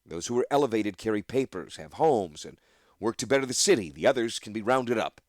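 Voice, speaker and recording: A man voicing a cold, calculating male tyrant who speaks in a measured, composed way. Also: lowish voice, animated, dry recording, clean studio sound, natural voice.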